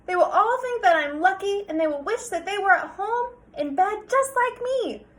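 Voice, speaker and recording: A woman's voice: very high voice, expressive, pitched above the performer's own voice. A woman reading a storybook as a reluctant girl who complains stubbornly about going to a new school. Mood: whiny protest. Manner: stubborn, complaining, drawn-out protests.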